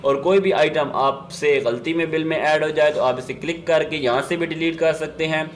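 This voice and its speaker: A man with a Pakistani accent presenting an instructional software demo, explaining step by step and mixing in English business terms. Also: a little high, moderate pace, a little hiss or hum.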